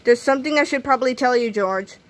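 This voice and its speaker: Woman playing hesitant, soft-hearted schoolboy Harold. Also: high voice, fast talker, lightly acted. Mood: nervous. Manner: hesitant, pleading.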